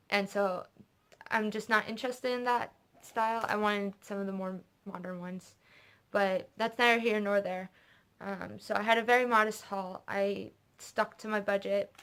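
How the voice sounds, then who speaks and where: A woman's voice: mid-range voice, fairly bright tone. A young woman from North America hosting a knitting vlog, chatting casually and rambling off on tangents with lots of fillers. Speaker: knitting podcast host. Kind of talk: casual chat showing purchases.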